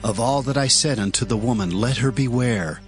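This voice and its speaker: An actor playing a commanding angel of the Lord in a Bible drama, speaking gravely and deliberately with solemn authority. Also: male voice, mid-range voice, soft background music, close to an everyday voice. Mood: stern, instructing. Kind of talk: dramatized Bible audio acting.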